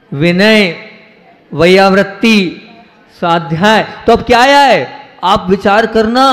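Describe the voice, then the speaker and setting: High-voiced man preaching in an echoey hall. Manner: rhetorical questions to the audience, repeating phrases for emphasis; swings between raised emphatic lines and quiet low asides. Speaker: Jain monk giving a discourse. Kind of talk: sermon. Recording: echoey hall with long reverb.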